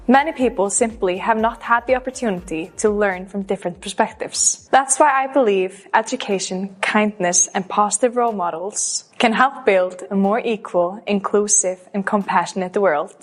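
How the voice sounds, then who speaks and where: Earnest young woman. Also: medium pitch. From Iceland. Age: 20s. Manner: earnest, measured recited delivery. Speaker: pageant contestant. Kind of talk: scripted self-introduction.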